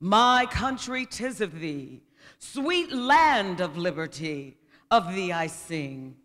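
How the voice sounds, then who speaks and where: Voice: medium pitch, very animated, slow pace, a bit bright. A male voice giving a dramatic speech reading with an orator's delivery at a commemorative event. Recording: reverberant hall.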